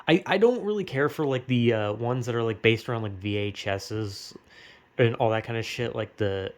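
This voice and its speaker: A man's voice: mid-range voice, moderate pace. A young American man talks off the cuff in a loose, rambling way. His speech is slangy and full of swearing, like casual opinion commentary among friends. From United States. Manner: rambling, slangy, heavily profane.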